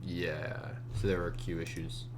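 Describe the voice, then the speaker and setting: A man with a low, just-woke-up voice talks through his code in a casual way. He mutters to himself and trails off mid-thought, with a clear hum and keyboard typing behind him. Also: flat delivery, unhurried. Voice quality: low morning voice. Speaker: competitive programmer narrating a screencast. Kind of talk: casual think-aloud commentary while coding. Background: computer keyboard typing, hum.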